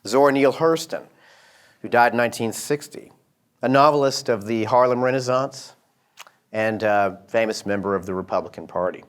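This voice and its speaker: A man's voice: mid-range voice, clear, resonant tone. Middle-aged guy from georgia, bit of a southern accent, lecturing, lots of uh and um. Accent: slight Southern US. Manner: halting, many uh and um fillers. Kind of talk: lecture to an audience.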